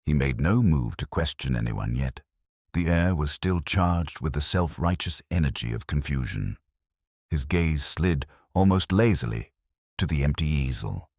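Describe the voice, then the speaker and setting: Very deep male storyteller, lively intonation. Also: unhurried, dry recording, studio quality. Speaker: narrator. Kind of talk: scripted narration of a mystery story.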